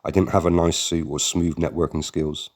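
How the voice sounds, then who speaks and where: A man reading a first-person memoir aloud as an audiobook narrator, in a steady, measured way. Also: a bass voice.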